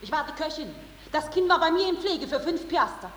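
An outspoken cook talks in a very high, piercing voice. She is scornful and plain-spoken, heard from a distance in the echo of an old German radio play. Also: expressive, fairly quick, a bit bright. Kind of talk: 1950s German stage-style radio drama acting. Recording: echoey, distant, faintly hissy old recording.

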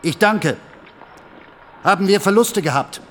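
An actor playing a sceptical general in a German radio drama, precise, controlled and authoritative. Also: male voice, sits high, animated, unhurried, a bit bright, a little hiss or hum. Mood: cool, commanding. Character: cool, sceptical commanding general questioning a subordinate.

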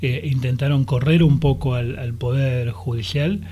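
A man's voice: medium pitch, moderate pace, husky. Porteño guy on a radio show, lawyer talking through a court case, lots of "eh" and "este", regular mid voice. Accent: Buenos Aires porteño. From Argentina. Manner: relaxed, explanatory, with many fillers and self-corrections. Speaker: lawyer and interview guest. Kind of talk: radio interview. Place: radio studio.

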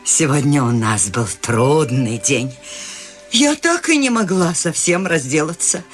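An actress playing a serene, whimsical eighty-year-old woman in a radio play, talking in a calm, gently amused way. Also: low-pitched, unhurried, soft background music. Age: 80s.

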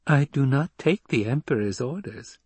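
A man voicing a defiant, coolly malicious little girl in an audiobook, calm and precise in manner. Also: medium pitch, moderate pace, slightly rough, no echo, pitched above the performer's own voice. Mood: cool defiance. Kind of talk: dramatic audiobook character voice.

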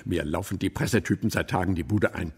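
An actor playing an impatient police president in a radio drama, sarcastic and blustering, firing pointed questions. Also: male voice, sits low, fast talker, breathy edge.

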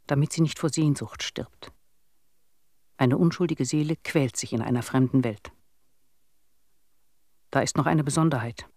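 An actor playing an earnest, imploring villager in a radio play, speaking in simple, halting phrases. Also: male voice, mid-range voice, darkish tone, dry recording, studio quality. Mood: pleading, sorrowful. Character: earnest villager pleading for a lost neighbour.

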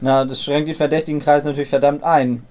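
Eager teen research sleuth played by a man, fairly high male voice, spoof radio drama. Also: flat delivery, fast, a little mellow, close to an everyday voice. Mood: deadpan. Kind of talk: amateur parody radio play acting.

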